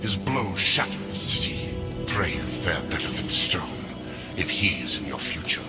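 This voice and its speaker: An actor playing a tormented, unstable angel scribe in a video game, speaking in a formal, archaic, theatrical way. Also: male voice, low-pitched, animated, normal speed, loud music behind the voice. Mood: haunted, hushed.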